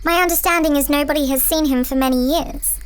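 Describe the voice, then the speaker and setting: A woman's voice: sits high, steady tone, fast talker, close to an everyday voice. A woman playing a tiny, courteous and composed mouse queen who speaks in formal, stately phrases in an audio drama. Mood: calm counsel. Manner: formal, stately, imperious diction.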